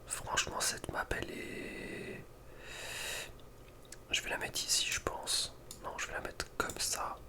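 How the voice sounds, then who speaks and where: A man speaking in a breathy whisper, giving informal, slangy ASMR commentary as a gaming video creator. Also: slow, long pauses. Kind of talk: whispered ASMR casual commentary ranking video game maps.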